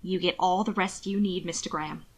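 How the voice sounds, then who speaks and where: A woman's voice: fairly low pitch, steady tone, a little fast, pitched below the performer's own voice. A woman plays a male valet in an audiobook. He is deferential and attentive to his master. His manner is kindly and reassuring. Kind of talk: audiobook character dialogue.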